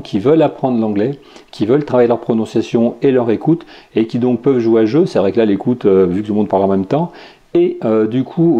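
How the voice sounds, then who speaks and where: A man's voice: lowish voice, dark tone, highs cut off. A French man hosting a podcast, casually reviewing tech and games with many fillers and restarts. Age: adult with children. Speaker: podcast host.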